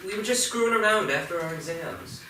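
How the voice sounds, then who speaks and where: Defensive teenage boy in a stage drama, played by a man: evasive, hesitant, sharp tone, echoey theatre. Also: high voice, expressive, fairly quick. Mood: flustered. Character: defensive, evasive fifteen-year-old boy in trouble. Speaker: actor. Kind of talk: staged play reading. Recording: echoey theatre, distant mic, faint noise.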